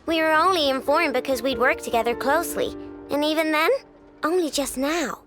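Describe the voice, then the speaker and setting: A woman's voice: high voice, fairly quick, natural voice. An actress playing a brash, cocky young female inventor in a video game, with a casual, slangy manner. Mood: sober, matter-of-fact. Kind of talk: video game cutscene voice acting. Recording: music behind the voice.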